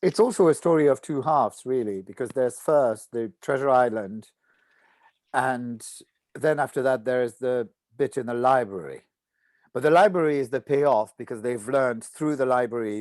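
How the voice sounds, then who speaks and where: Woman, very deep voice, reflective, explanatory, informal discussion, dry sound. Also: moderate pace, darkish tone, dry recording. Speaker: voice actor.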